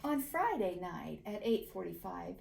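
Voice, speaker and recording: A woman reading a children's picture book aloud with a theatrical, deliberate delivery. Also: lowish voice, very animated, vocal fry, a little mellow, a little hiss or hum. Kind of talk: expressive children's story narration.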